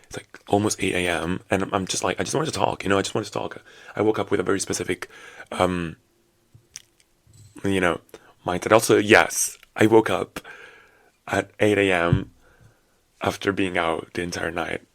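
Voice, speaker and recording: Casting: man, 20s, very low hoarse rasp, chatty rambling solo podcaster with sassy asides, close dry sound. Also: steady tone, moderate pace, pauses often, fairly bright tone, dry recording. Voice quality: low and raspy. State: hoarse after a night out. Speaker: podcast host. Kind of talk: casual solo podcast chat.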